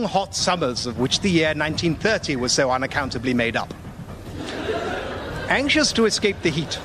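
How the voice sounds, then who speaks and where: An actor playing a pompous, whimsical gentleman storyteller with ornate, measured delivery in a radio comedy. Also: fairly high pitch. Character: pompous, whimsical old-fashioned gentleman raconteur telling tall tales.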